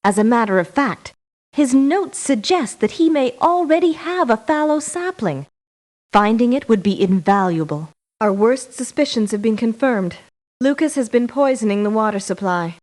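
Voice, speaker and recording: An actress playing an earnest, polite woman scientist in a game, giving formal, measured briefings. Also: on the high side, close to an everyday voice. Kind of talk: scripted game voice acting, briefing.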